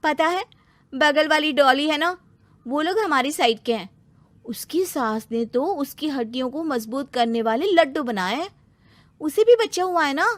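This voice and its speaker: A very high, whiny young wife and new mother, acted out by a woman, wheedling and sorry for herself. She speaks in storybook dialogue with a dry, close sound. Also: expressive, normal speed, fairly bright tone, no room sound. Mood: peevish complaining. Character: self-pitying, work-shy young daughter-in-law and new mother. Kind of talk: animated moral story dialogue.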